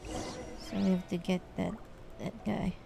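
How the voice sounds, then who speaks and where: A woman's voice: on the low side, level tone, slow pace, gravelly, noisy background. A woman streaming a game, giving casual, hesitant, musing commentary as she plays. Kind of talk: casual live gameplay commentary.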